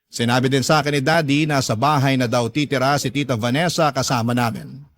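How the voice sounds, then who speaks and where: A man's voice: on the high side, fairly bright tone, dry recording, clean studio sound. A man with a Filipino accent narrating a listener's letter in the first person, as a dramatic radio storyteller.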